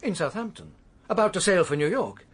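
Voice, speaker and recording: An actor playing a prim, defensive hotel manager in a radio drama, with a precise, fussy manner. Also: male voice, sits high, big pitch swings, a little fast, breathy edge, exaggerated voice. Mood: official.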